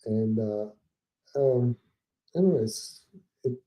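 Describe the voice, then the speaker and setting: A man from Italy with an Italian accent, a podcast guest talking casually and haltingly, with many fillers and restarts. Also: mid-range voice, lively intonation, warm tone. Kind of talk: casual conversation.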